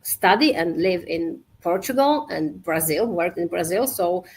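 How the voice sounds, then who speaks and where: A woman in her forties from Poland, with a slightly low voice. She is a guest in a casual interview and picks her words with care in a measured, searching way. She speaks over a video call. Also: animated, breathy edge, low-quality recording. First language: Polish.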